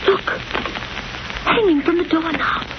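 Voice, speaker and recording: A woman's voice: very high-pitched, measured pace. An actress playing an anxious, devoted lady companion to a crime-fighter, earnest and dramatic in 1930s radio style. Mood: frightened. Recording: muffled, hissy 1930s radio recording.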